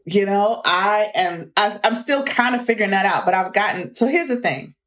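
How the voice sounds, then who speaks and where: A woman's voice: mid-range voice, moderate pace, a bit bright. A woman in her forties, talking as an interview guest in a reflective, earnest way that breaks into easy laughter. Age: 40s. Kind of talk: candid conversational interview.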